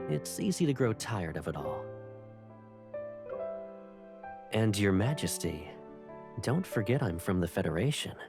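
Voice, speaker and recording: Game role: gentle young man with a teasing streak, low register, music clearly underneath. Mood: wry, casual. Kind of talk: video game dialogue acting. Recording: music behind the voice. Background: background music.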